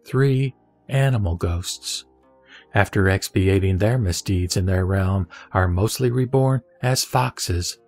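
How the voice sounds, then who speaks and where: A man's voice: sits low, darkish tone. Man solemnly reciting scripture over music. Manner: measured, formal, phrase-by-phrase delivery. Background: background music.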